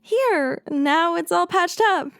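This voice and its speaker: A woman's voice: extremely high pitch, expressive, slow pace, fairly bright tone, no room sound, faint music behind, exaggerated voice. A woman playing a young schoolgirl in an audio drama, speaking to a friend. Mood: gentle, confiding.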